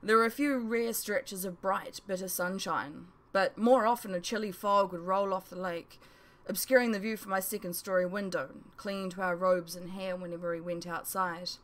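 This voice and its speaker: A woman's voice: medium pitch, fairly even intonation, moderate pace, hardly any pauses, a bit bright. A woman reading her own novel aloud as a first-person storybook narration.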